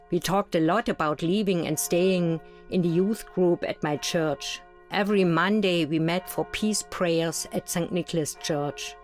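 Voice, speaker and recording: A woman's voice: on the low side, darkish tone, natural voice. A woman voicing an East German woman looking back on the 1989 protests in scripted first-person narration.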